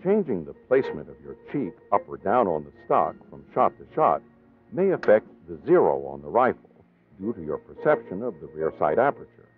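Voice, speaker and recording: A man with an American accent reading scripted training-film narration in a measured, formal, precisely enunciated way. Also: sits low, phone-quality sound.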